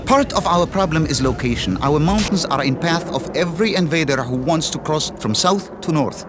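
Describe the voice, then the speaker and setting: Male actor as a fatalistic warrior, animated delivery, noisy cutscene sound. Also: fairly high pitch, moderate pace, close to an everyday voice. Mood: solemn. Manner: solemn, broken English storytelling. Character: proud male mountain fighter, serious, fatalistic. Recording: noisy game cutscene audio.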